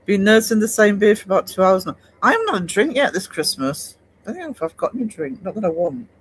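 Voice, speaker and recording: Livestream host, man, high-pitched, rambling and chatty with his viewers. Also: animated, fast talker, fairly bright tone, slight background noise. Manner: chatty, rambling, many fillers and restarts. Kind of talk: casual chat reading live comments.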